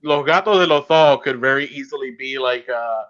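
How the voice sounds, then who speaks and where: Male podcast host; very quick, casual and jokey delivery, slightly dull recording. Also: medium pitch, crowd noise.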